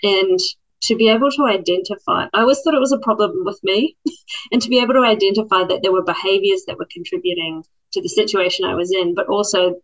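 A woman from New Zealand with a New Zealand accent, giving thoughtful, measured answers as a program participant. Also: mid-range voice. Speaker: program participant, former lawyer. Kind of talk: reflective interview answers. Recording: muffled lo-fi audio.

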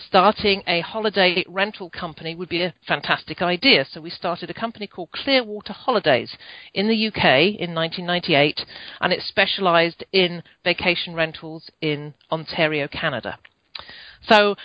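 A woman's voice: lowish voice, no room sound. An older woman from the United Kingdom with a British accent, telling her story as a chatty podcast guest who often restarts her sentences. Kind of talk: conversational interview storytelling. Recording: muffled lo-fi dry sound.

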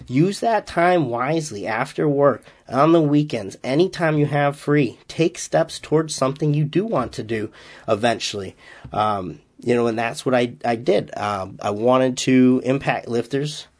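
A man with a light Massachusetts accent talks alone into a podcast mic, upbeat and easygoing. His voice sits at an ordinary middle pitch, and he wanders from point to point with plenty of "uh" and "you know" along the way. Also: measured pace. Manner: upbeat, rambling, many "uh" fillers. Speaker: strength coach and podcast host. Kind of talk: casual solo podcast talk.

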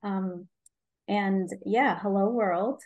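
A woman's voice: medium pitch, extremely slow, phone-quality sound. Egyptian-born woman in her 40s, chatty guest, lots of ums and restarts, laughs a lot. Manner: hesitant, many ums and restarts, laughs easily. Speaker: guest physician. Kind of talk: casual interview chat.

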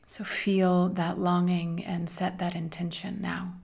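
A woman leading a guided meditation in a soft, gentle, soothing manner with long pauses and breathy phrase endings. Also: lowish voice, completely flat delivery.

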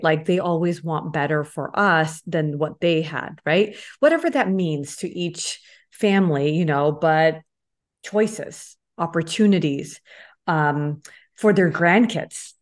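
A woman hosting a casual, reflective conversation, phrasing things informally and often checking in with tag questions. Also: sits low. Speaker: interviewer, host.

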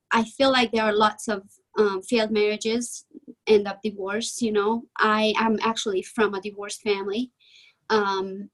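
A woman's voice: medium pitch, rough recording. A young adult woman from Hungary, speaking with a Hungarian accent as a podcast guest, candid and reflective, often hedging with "I feel like". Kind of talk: podcast interview.

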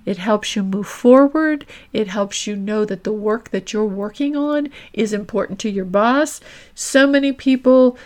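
A woman career coach and host delivering an instructional monologue, laying out her points deliberately and with emphasis. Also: medium pitch, darkish tone.

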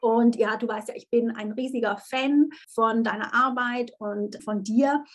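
A woman in her thirties hosting a podcast interview, lively and enthusiastic, often slipping in English phrases. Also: a little high, measured pace. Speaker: podcast host.

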